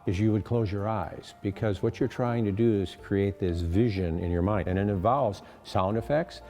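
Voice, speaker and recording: An older man who directs radio theater, explaining things in a measured way in an interview. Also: on the low side, a little mellow. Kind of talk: interview answers.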